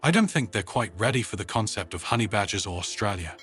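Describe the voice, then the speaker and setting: Man reading as wry female space team leader, expressive. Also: fairly low pitch, fast, breathy edge, faint music behind.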